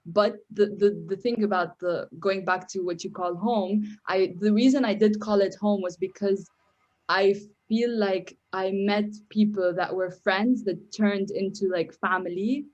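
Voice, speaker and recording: A woman's voice: mid-range voice, fairly even intonation, a little slow, a little mellow, highs cut off. A young woman from Syria, whose first language is Arabic, speaking as a podcast guest with a hesitant manner, often repeating words. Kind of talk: podcast interview.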